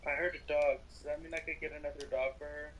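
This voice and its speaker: A man's voice: on the high side, flat delivery, fast talker, fairly bright tone, a little hiss or hum, low-quality recording. A man chatting casually as he roleplays in a video game. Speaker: Minecraft roleplay player.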